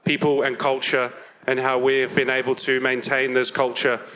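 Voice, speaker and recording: A man's voice: medium pitch, level tone, normal speed, sharp tone, a little hiss or hum. A man introducing a panel at a live event, building his sentences as he goes.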